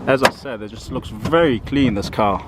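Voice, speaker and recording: A man's voice: mid-range voice, normal speed, very noisy. A man hosting a casual car walkaround outdoors, chatty and admiring, recorded on a camera mic. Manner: chatty, admiring, repeats himself. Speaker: car vlogger host. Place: outdoors beside a parked car.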